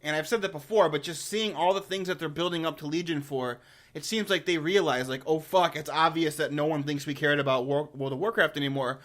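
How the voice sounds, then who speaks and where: A man's voice: on the high side, brisk, fairly bright tone. A man giving casual, enthusiastic video commentary as a host, rambling informally and often repeating words as he goes. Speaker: host of a game lore review channel.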